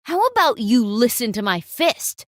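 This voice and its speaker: Woman doing a defiant young boyfriend, firm and loud, lively pitch, dry sound. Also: measured pace, dry recording.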